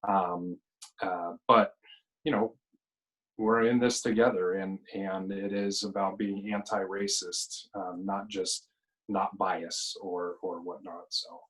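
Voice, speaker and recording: A man speaking in a halting, thoughtful way at a board meeting over a video call. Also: deep voice, little pitch movement, fairly bright tone, rough recording. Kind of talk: spoken remarks at a board meeting.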